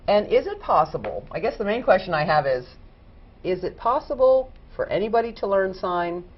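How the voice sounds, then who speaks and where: A woman's voice: mid-range voice, sing-song, normal speed. A woman hosting a talk show interview, asking chatty, rambling questions. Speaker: interview host. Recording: dull lo-fi studio recording.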